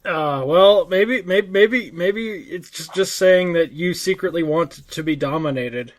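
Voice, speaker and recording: Higher-pitched American man in halting banter. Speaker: podcast co-host. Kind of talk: casual banter.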